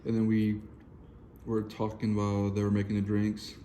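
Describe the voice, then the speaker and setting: A man, joking and swearing casually in a relaxed home chat. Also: lowish voice, normal speed, a little hiss or hum. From Denver City, Texas. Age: 20s. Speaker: co-host of a couples channel. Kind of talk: casual chat while eating.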